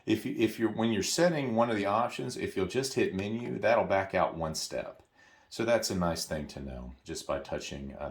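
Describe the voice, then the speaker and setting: A man giving a casual, hands-on product tutorial, explaining things informally and repeating key points for emphasis. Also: lowish voice.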